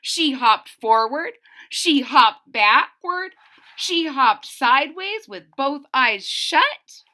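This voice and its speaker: A woman's voice: high-pitched, animated, slow, bright, forceful tone. A woman reading a storybook aloud to young children, expressively, with simple explanatory asides. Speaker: storybook reader.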